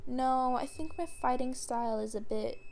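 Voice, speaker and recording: A woman's voice: sits high, moderate pace, darkish tone, a little hiss or hum, voice pushed up. A woman voicing a supportive, easygoing female hero mentor in a story, casual and encouraging. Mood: hesitant.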